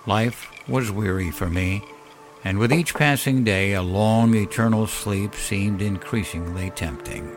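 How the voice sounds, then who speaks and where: A man narrating a scripted first-person horror story as a weary, wistful old man, in a measured, solemn and dramatic way. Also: fairly low pitch. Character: weary, wistful elderly man telling his ghostly tale. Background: music under the voice.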